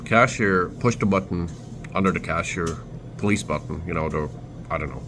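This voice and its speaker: A young man with a Turkish accent talks to the camera in a deep, low voice, stopping and restarting his sentences as he searches for words. Steady background noise runs under his speech. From Turkey. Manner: halting, restarts, self-corrects often. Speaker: vlogger. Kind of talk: casual storytelling. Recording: noisy background ambience.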